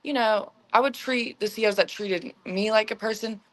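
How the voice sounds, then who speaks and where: A young woman as an interview guest, telling stories in a candid, slangy, halting way with frequent "like" and acted-out dialogue. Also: on the high side, rough recording. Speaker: interview guest, former inmate. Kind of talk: candid conversational storytelling.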